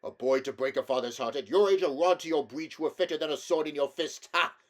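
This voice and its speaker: A man voicing a bluff, hearty older king with a gruff, commanding way of speaking. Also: fairly quick, lightly acted. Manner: booming, commanding, old-fashioned formal speech.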